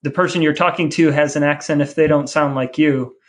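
A man speaks as a podcast co-host in casual conversation. His voice sits a little higher than average for a man, and he talks in an informal, conversational way. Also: fairly even intonation, a little fast, a little hiss or hum.

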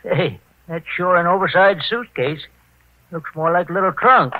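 An actor playing a folksy, homespun detective's sidekick in 1940s radio style, on an old recording. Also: male voice, high voice, normal speed, phone-quality sound, slight background noise, close to an everyday voice. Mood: easygoing, folksy. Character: folksy, plain-spoken male sidekick to a detective. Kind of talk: 1940s radio character acting.

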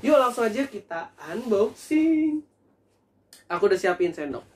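A young man in a casual food review vlog, talking in a chatty, animated way to his viewers while seated at a table in a small room. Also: high-pitched, unhurried. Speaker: food vlogger.

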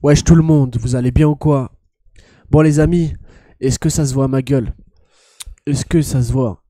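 A young man with a head cold, streaming a game and joking loudly in slangy banter. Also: mid-range voice, steady tone, normal speed, dry recording, studio quality. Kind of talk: casual gaming stream chat.

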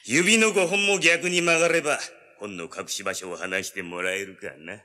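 Actor as sharp, forceful yakuza boss. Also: male voice, medium pitch, steady tone, normal speed, natural voice. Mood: sneering menace. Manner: oily, rough gangster talk. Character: sleazy, blustering male yakuza boss. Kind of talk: dramatic radio drama acting. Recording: long hall-like reverb.

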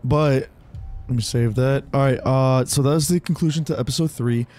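A man's voice: mid-range voice, normal speed, dark tone, soft background music. A young man hosting a casual let's-play, joking and laid-back as he plays. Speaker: gaming channel host.

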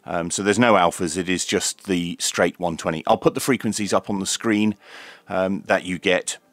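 A man's voice: deep voice, fairly even intonation, normal speed, dry recording. A man giving an unscripted hands-on product demonstration as a reviewer, explaining step by step in a matter-of-fact, methodical way. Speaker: radio reviewer presenting a demonstration.

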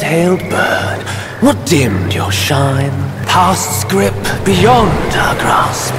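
A man playing a solemn, cryptic guardian in a video game cutscene, speaking poetically and theatrically over music. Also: mid-range voice. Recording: loud cinematic music behind.

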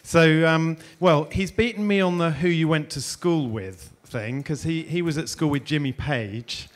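A man's voice: fairly high pitch, unhurried. A middle-aged man from England, chatty and self-deprecating, introducing and interviewing a guest on a conference stage with a handheld mic. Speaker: interviewer and host. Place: conference stage before an audience.

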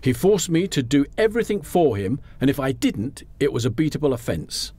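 A man's voice: mid-range voice, big pitch swings, brisk, mellow, husky. A man with a British accent playing a fussy, resentful ageing commuter narrating a radio drama in a prim, theatrical way. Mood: bitter reminiscence. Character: fussy, resentful ageing English commuter haunted by school bully. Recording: noisy amateur radio drama recording.

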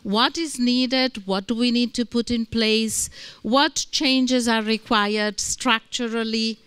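Slow, measured older woman moderator. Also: on the high side, steady tone, fairly bright tone, clean tone, no room sound. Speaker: panel moderator. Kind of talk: formal panel moderation. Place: conference room. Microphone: handheld mic.

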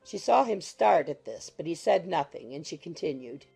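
Female audiobook narrator, lowish and husky, scripted literary reading over music. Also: big pitch swings, moderate pace, mellow.

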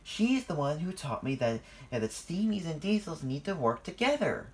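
A reader doing an excitable high-pitched young steam engine. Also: moderate pace. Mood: eager, breathless. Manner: exclaiming, high-spirited.

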